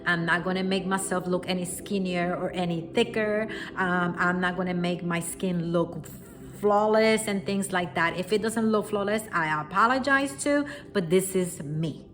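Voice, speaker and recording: A woman's voice: on the low side, normal speed, fairly bright tone. A woman from Puerto Rico with a strong Puerto Rican accent, giving an unscripted spiritual lesson straight to her viewers at home, earnest and reassuring. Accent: Puerto Rican Spanish. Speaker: spiritual teacher. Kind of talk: unscripted spoken lesson to camera. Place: at home, quiet room. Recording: music playing behind the voice.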